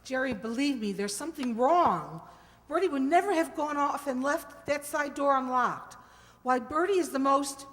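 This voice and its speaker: A woman's voice: high-pitched, animated, moderate pace, fairly bright tone. A woman playing an urgent, persistent amateur-sleuth wife in an old-time radio mystery, emphatic and pleading. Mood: anxious. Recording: echoey hall with long reverb.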